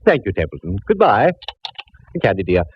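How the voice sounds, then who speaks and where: An actor playing a fussy, genteel middle-aged art dealer in a 1950s radio drama, speaking in a refined, mannered way. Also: male voice, on the low side, huge pitch swings, moderate pace, slightly rough, exaggerated voice. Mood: chatty. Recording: dull old radio broadcast.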